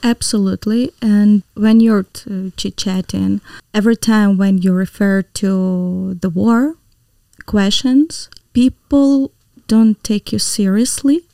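A woman's voice: mid-range voice, steady tone, takes it slowly, creaky, dark tone, no room sound. A woman from Ukraine with a Ukrainian accent, a native Ukrainian speaker, speaking earnestly as an interview guest. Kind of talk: interview conversation.

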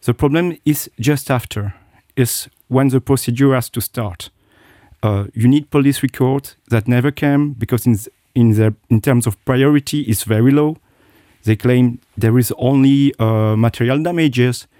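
A man's voice: mid-range voice, normal speed, warm tone, no room sound, clean studio sound. A middle-aged man, a guest telling his personal story with many hesitations and restarts. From South Korea. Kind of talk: interview, personal account.

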